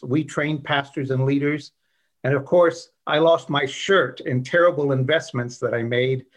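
A man in his sixties, a guest on an interview show, telling his life story in a genial, folksy way. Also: mid-range voice. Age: 60s. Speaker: guest, investor.

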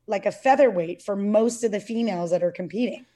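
A middle-aged woman chatting as a podcast guest. She speaks earnestly but haltingly, with frequent fillers and restarts, at an average pitch for a woman. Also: dark tone. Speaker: podcast guest, CrossFit athlete and parent. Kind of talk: casual interview conversation.

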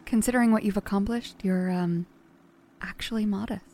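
An actress playing a candid, wry biographer in an audio drama, talking in a conversational, slightly hesitant way. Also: female voice, medium pitch, fairly even intonation, normal speed, warm tone, close to an everyday voice. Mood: curious, hesitant.

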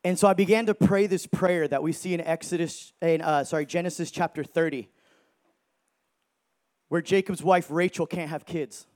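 Man, thirties, high voice, energetic preacher, joking, emphatic shouts. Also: moderate pace. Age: 30s. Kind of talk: sermon.

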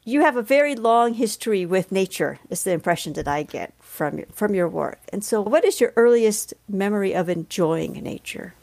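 A woman conducts an interview in a mid-pitched voice with plenty of vocal fry. She speaks in a considered way, often pausing partway through a sentence. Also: animated, a little mellow. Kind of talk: interview, prepared questions with reflective remarks.

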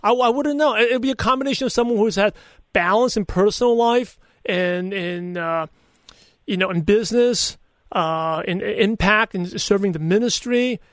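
High-voiced man, Brooklyn-Chinese accent, lisp. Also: normal speed, a bit bright, no room sound, studio quality. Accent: Brooklyn mixed with Chinese. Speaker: podcaster and coach, interview guest. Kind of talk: conversational interview answers with personal anecdotes.

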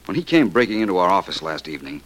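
An actor playing a suave, self-assured amateur detective in an old radio drama, urbane, dryly witty and commanding. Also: male voice, deep voice, very animated, very fast. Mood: playful, explanatory. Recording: noisy old radio play recording.

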